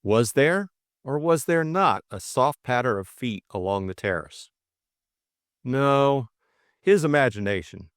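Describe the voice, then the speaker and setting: A man's voice: medium pitch, lively intonation, measured pace, dry recording, studio quality. A middle-aged man reading a classic novel and discussing it as a co-host. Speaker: audiobook reader and co-host. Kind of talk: storybook narration and casual book discussion.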